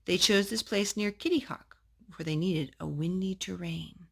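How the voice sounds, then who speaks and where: A woman with a slightly husky voice reading a children's picture book aloud as a storytime host. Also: on the low side, moderate pace.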